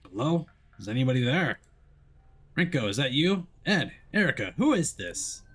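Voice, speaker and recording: A man's voice: mid-range voice, very animated, normal speed, fairly bright tone, pitched above the performer's own voice. A man voicing a blunt, impatient paranormal detective in a dramatic game read-aloud. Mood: urgent, worried. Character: gruff, defiant male paranormal detective, impatient and tough.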